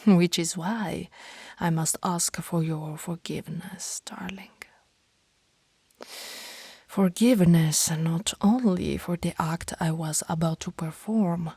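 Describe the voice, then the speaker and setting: A woman playing a centuries-old vampire in an ASMR roleplay, formal and tender, speaking softly and breathily, often in a whisper. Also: on the low side, no echo, heavily acted. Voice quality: soft, breathy. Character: centuries-old female vampire, lovestruck, remorseful, hungry, formal. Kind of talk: ASMR roleplay, slow intimate monologue.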